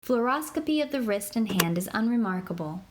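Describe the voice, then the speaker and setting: A woman giving scripted instructional narration for an interactive kids' medical app, in an even, clear, measured way. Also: on the high side, background noise.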